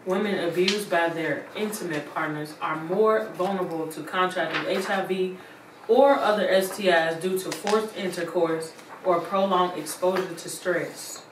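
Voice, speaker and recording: A woman's voice: on the low side, moderate pace. A woman, an actress, speaking in a rehearsed appeal for a stage play. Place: indoor living room. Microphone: distant camera microphone. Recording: echoey room, distant mic, clear noise.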